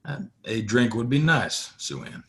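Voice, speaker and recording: A man's voice: medium pitch, big pitch swings, unhurried. A man playing a polite, modest cowboy stranger in a western audio play, courteous and understated. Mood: easygoing.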